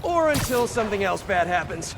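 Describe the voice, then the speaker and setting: A man's voice: high-pitched, very animated, a little fast, creaky, noisy background, exaggerated voice. An American man playing an eager, wisecracking, good-hearted young superhero in a video game, boyish and rambling in his delivery. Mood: chatty, joking. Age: young adult. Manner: boyish, joking, rambling to himself. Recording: voice under loud game music.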